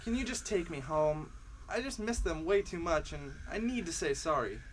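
A man's voice: a little high, expressive, normal speed, slight background noise, close to an everyday voice, low-quality recording. A man playing an earnest, homesick young schoolboy in an amateur radio drama, who cries out when startled. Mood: remorseful.

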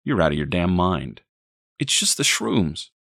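A man voicing a gruff, dismissive husband in an audiobook, brusque and scornful. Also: low-pitched, very animated, unhurried, a bit bright, dry recording, clean studio sound, full character voice. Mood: groggy irritation.

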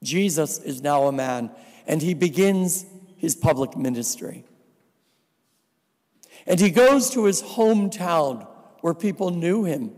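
A man from New Jersey preaching a sermon as a visiting priest, slow and deliberate with dry asides. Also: fairly high pitch, expressive, a little mellow. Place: church. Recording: reverberant church hall.

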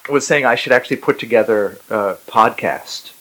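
A man, a guest poet on a podcast, talking in a halting, self-mocking way with a voice roughened and gravelly after a rough week. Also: medium pitch, fairly bright tone, poor audio. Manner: dry self-mocking humor, halting with fillers. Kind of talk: casual podcast chat.

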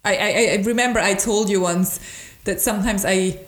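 A woman's voice: medium pitch, steady tone, normal speed. German lady chatting, picks words carefully, bit of room echo. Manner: thoughtful, searching for words. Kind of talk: casual chat. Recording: echoey room.

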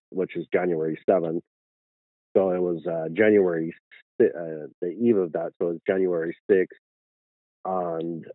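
Irish man, very deep voice, halting with lots of uh and um, dry tinny phone line. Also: no echo. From Ireland. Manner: hesitant, many fillers and restarts. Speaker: guest. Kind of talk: telling a personal story.

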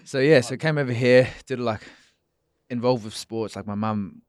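A young man from New Zealand, raised in Australia, talking as a podcast guest in a relaxed, filler-heavy way. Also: mid-range voice, moderate pace. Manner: relaxed, many fillers, laughs easily. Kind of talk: casual interview chat.

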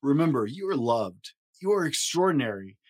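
A man hosting a story podcast, reading a rhyming children's picture book aloud in an expressive, rhythmic way. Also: mid-range voice, normal speed. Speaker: podcast host and story reader.

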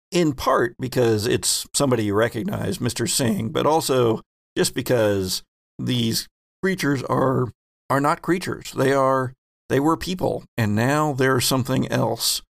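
Slow, gravelly man running a tabletop game. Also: medium pitch, no echo, clean studio sound. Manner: slow, descriptive narration with rules explanations. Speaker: game master.

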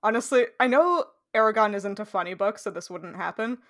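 A woman's voice: medium pitch, fairly quick, a bit bright. Giggly woman in casual book chat. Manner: giggly, chatty, lots of likes.

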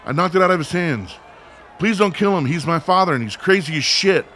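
Man playing a distraught, blunt son, slightly high voice. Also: lively intonation, a little fast, pushed and bright, a little hiss or hum. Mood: pleading. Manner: urgent, blunt, sweary. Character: distraught, foul-mouthed son of a deranged father. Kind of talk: radio drama acting.

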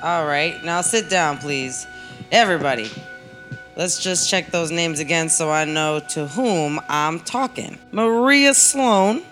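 A woman playing a brisk, skeptical police captain interrogating suspects in a comedic radio play, wry and a little halting. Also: deep voice, fairly bright tone, soft background music. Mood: businesslike.

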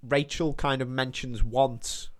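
A man's voice: medium pitch, fairly even intonation, slow pace. A British man talking casually as a film podcast host. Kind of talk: casual chat.

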